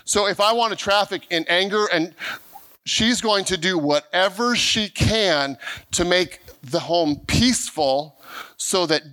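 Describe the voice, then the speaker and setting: A middle-aged man preaching with a high, bright, forceful voice. He speaks earnestly and stumbles over repeated words as he builds each point. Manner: earnest preaching with frequent restarts and stammered repeats; acts out quoted voices of people he describes. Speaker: pastor. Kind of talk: sermon.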